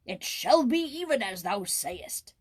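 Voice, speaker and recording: A woman voicing a haughty, scornful fairy-tale king with pompous, slow, archaic declamation. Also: fairly high pitch, very animated, slightly rough, exaggerated voice. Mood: stern, commanding.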